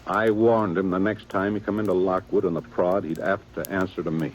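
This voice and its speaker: An actor playing a weary, dutiful aging small-town sheriff with a plain folksy drawl in a 1950s radio drama. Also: male voice, deep voice, steady tone, fairly quick, natural voice, poor audio. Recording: old radio broadcast with faint hiss.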